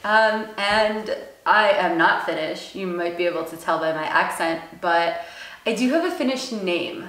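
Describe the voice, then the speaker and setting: A woman from the United States with an American accent, a guest telling her story in a casual interview, animated and laughing often as she talks. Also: on the low side, measured pace, reverberant, poor audio.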